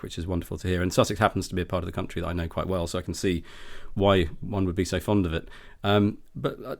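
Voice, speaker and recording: A male podcast host with a very low, deep voice leads the conversation. He asks his questions politely and at a measured pace, with a casual tone. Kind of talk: conversational interview hosting.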